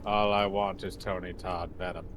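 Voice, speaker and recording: A man's voice: low voice, flat delivery, normal speed, fairly bright tone, slight background noise. A man co-hosting a gaming stream, chatting casually and agreeing easily. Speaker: co-host.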